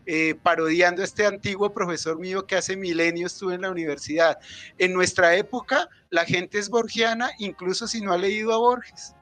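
A man's voice: high voice, a bit bright, no room sound. A middle-aged man discusses literature as a guest author, explaining discursively with many hesitations. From Colombia. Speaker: author, guest at a book presentation.

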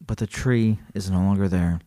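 A man's voice: low voice, moderate pace. Joking male podcast host reading aloud. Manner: animated, joking, laughs often. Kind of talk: casual chat, reading a story aloud.

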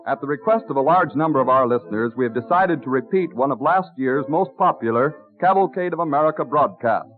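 A man working as a 1930s radio announcer, reading a scripted sponsor's message in a formal, deliberate manner. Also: mid-range voice, lively intonation, loud music behind the voice. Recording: dry, narrow-band old radio recording.

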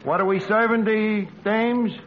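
An actor playing a brash, wisecracking New York tavern manager with ungrammatical, mock-refined speech, in 1940s radio comedy style. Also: male voice, sits high, fairly even intonation, a little slow. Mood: wry. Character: brash, malapropping tavern manager with pretensions to class. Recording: noisy, muffled old radio broadcast recording.

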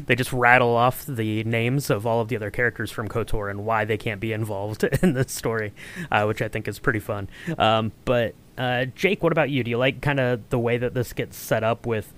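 A man's voice: mid-range voice, steady tone, normal speed, a bit bright. A man hosting a podcast in a casual, informal, conversational way. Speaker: podcast host. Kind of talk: casual chat.

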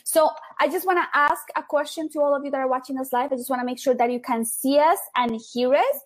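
A woman's voice: high voice, fast. A woman hosting a live stream, upbeat and enthusiastic as she greets viewers and asks questions. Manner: upbeat, enthusiastic, laughs often.

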